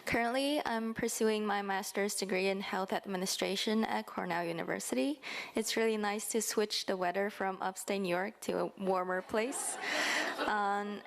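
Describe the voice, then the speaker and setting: Creaky-voiced young woman from Shanghai. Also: mid-range voice, steady tone, normal speed. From Shanghai, China. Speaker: intern introducing herself. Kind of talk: prepared self-introduction to an audience.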